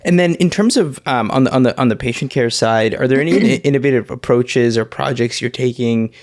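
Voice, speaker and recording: A man's voice: mid-range voice, a little fast, darkish tone. Hesitant man hosting an interview. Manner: hesitant, frequent ums and restarts. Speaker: podcast host. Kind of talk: interview questions.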